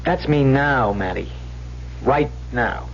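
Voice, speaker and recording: A halting small-time swindler, muffled radio. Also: male voice, mid-range voice, lively intonation, creaky. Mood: bitter confessing. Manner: halting, repetitive, colloquial street talk. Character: small-time swindler pleading with his lonely sweetheart. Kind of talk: old-time radio drama acting. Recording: dull, hissy vintage radio recording with hum.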